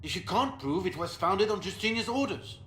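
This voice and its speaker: In an echoing space with faint noise, a proud marquis from a fantasy game. His fairly high male voice is pompous and formal, declaiming with haughty indignation. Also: animated, normal speed. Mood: haughty, indignant. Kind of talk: video game dialogue acting.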